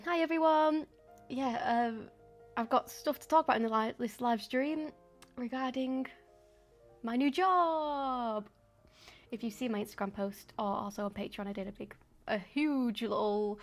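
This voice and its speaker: Young woman, casual live stream chatter, lots of ums, slightly high voice, faint music. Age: 20s. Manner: chatty and rambling, with frequent ums and soft laughs. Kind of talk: casual live stream chat while painting.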